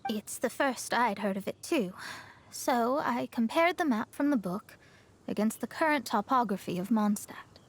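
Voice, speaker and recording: Proud, highish-voiced young astrologist. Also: female voice, expressive, moderate pace. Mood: explaining. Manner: haughty, articulate, explanatory. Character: proud, self-assured young female astrologist. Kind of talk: video game character acting. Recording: music behind the voice.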